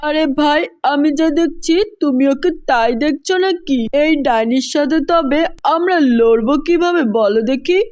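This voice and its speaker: A woman's voice: high-pitched, a little slow, few pauses, a little mellow. A woman voicing a village farmer in a children's cartoon, with an emphatic, theatrical delivery. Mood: worried, alarmed. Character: worried, scheming village watermelon farmers.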